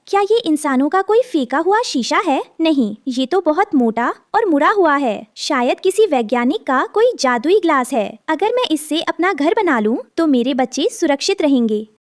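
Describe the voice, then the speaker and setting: A woman's voice: high voice, no room sound, clean studio sound. A woman voicing a protective, determined mother bird in a children's cartoon, reassuring and moralizing. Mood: curious, wondering. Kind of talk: animated cartoon story dialogue.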